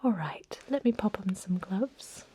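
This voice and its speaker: A woman playing a thousand-year-old vampire medic in an ASMR role-play, whispering breathily in a soothing, unhurried manner with murmured hums. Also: mid-range voice, lively intonation, warm tone, slight background noise, natural voice. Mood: reassuring.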